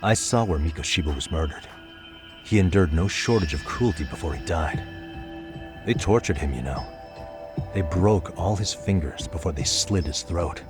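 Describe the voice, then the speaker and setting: A probing detective type voiced by a man in a video game drama. His voice is low and deliberate, calm yet insistent, with a clear score playing underneath. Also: lightly acted. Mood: grave. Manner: pressing, deliberate, serious. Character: dogged, probing male investigator, calm but insistent. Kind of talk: dramatic game cutscene acting. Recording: dramatic music behind.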